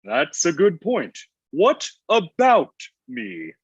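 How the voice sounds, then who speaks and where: A man's voice: on the high side, wildly swooping pitch, takes it slowly, husky, muffled recording, heavily acted. A man playing a grumpy, ancient Santa Claus in a comedy table read, with a blunt, testy, conversational manner. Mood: frank.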